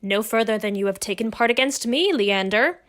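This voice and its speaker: A woman playing a dignified, resolute wronged wife in an audio drama, measured and formal in period diction. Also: on the high side, expressive, a bit bright. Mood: firm, cool. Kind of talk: stage-play acting in an audio drama.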